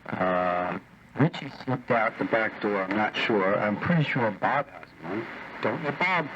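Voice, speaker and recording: A man in a casual, teasing chat over shortwave ham radio, speaking a little haltingly like an operator among friends. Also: lowish voice, expressive. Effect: shortwave radio transmission. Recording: muffled, noisy shortwave radio reception. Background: radio static.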